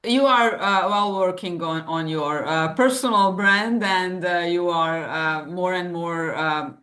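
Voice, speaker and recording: Halting woman host. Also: on the low side, fairly bright tone. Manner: halting, many "uh" pauses and restarts. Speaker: podcast host. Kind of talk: interview questions.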